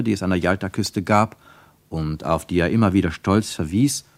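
A man's voice: fairly low pitch, a little mellow, no echo. A man reading scripted literary narration for a radio play, as its narrator.

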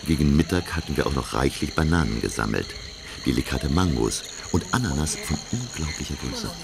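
A man's voice: extremely low pitch, lively intonation, gravelly, noisy background, full character voice. A man playing a refined, reflective professor who narrates his adventure in literary style for a German radio drama. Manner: literary, measured.

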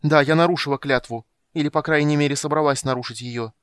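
A man's voice: fairly high pitch, fairly even intonation, fast talker, fairly bright tone, clear, resonant tone, dry recording, studio quality, voice pushed up, natural voice. A man voicing a frightened, tearful young woman who pleads and defies in a raised, strained voice. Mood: defiant. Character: desperate young woman in hiding, tearful and fearful.

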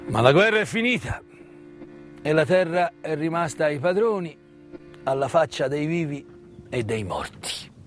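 A man's voice: a little high, animated, measured pace, long pauses. A man delivering a theatrical storytelling monologue, emphatic and rhetorical, full of repetition and questions. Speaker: stage narrator and actor. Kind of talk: theatrical monologue, conversational storytelling about wartime history. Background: live original music.

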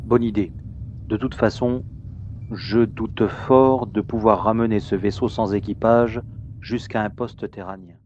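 A man's voice: lowish voice, steady tone, a little slow, darkish tone, highs cut off, a little hiss or hum, lightly acted. A man playing a sober, doubtful spaceship crewman in a science fiction audio drama.

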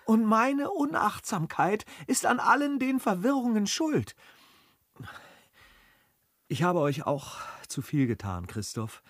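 An honourable gentleman in a radio play, quick to anger and earnest. His fairly high voice moves in lively, emphatic swings, and his wording is formal. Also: dry recording, studio quality, heavily acted. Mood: earnest, humble. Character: honourable, hot-tempered but contrite gentleman traveller. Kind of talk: German radio play acting.

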